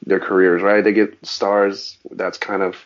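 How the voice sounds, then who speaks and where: Low-voiced man on lo-fi podcast audio. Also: unhurried. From Southern California. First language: Mandarin. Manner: rambling, frequent restarts, animated on sports. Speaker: guest, actor and musician. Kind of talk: casual interview chat.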